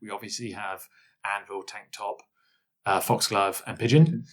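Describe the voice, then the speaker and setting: A man's voice: on the low side, steady tone, a little slow. A man hosting a podcast, chatting casually with a guest in a teasing, jokey way. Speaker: podcast host. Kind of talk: casual chat, interviewing a guest.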